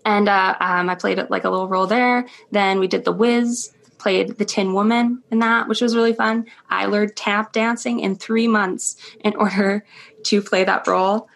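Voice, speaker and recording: Young woman, gravelly fry, chatty interviewee with easy laughs. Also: medium pitch, fairly even intonation, moderate pace. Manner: chatty, laughs often, many ums. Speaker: interview guest, stage performer. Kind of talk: casual interview chat.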